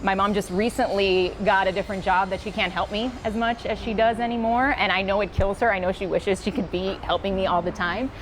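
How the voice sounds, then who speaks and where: A woman's voice: mid-range voice, steady tone, brisk, hardly any pauses, a little hiss or hum. A woman, a news anchor, speaking earnestly and emphatically in a casual, heartfelt panel conversation. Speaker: TV news anchor and working mother.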